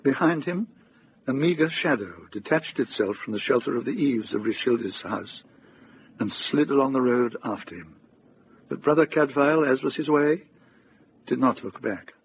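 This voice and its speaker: A man narrating a radio drama as a calm, literary storyteller, unhurried and lyrical. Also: mid-range voice, slight background noise, lightly acted, rough recording.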